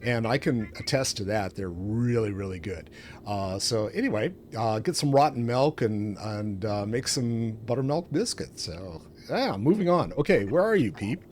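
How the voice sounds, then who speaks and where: A man's voice: fairly low pitch, expressive, moderate pace, darkish tone. A man hosting a casual game stream, rambling along in a self-deprecating way. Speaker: streamer, show host. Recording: clearly noisy home stream audio.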